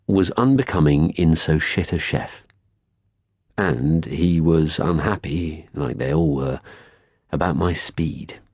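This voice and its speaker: A man reading scripted first-person literary fiction as an audiobook narrator, measured, articulate and wry. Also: a bass voice, a little mellow, husky, dry recording, studio quality.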